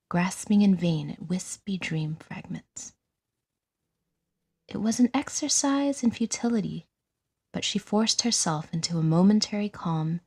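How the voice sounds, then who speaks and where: Breathy, low-pitched woman narrating fiction. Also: darkish tone. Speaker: audiodrama narrator. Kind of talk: scripted dramatic fiction narration.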